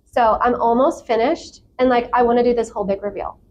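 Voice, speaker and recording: American female guest, youngish, fairly high pitch, informal and animated, light ambient noise. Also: a little fast. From South Florida, United States. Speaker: podcast guest. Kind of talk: casual conversational interview answers.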